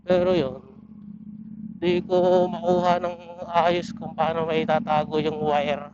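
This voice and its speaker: Filipino motovlogger man over loud engine noise. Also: fairly high pitch, flat delivery, slow. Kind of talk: casual rambling chat while riding. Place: outdoors on a moving motorcycle, inside a helmet. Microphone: helmet intercom mic. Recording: muffled helmet mic, loud motorcycle noise. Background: loud motorcycle engine and road noise.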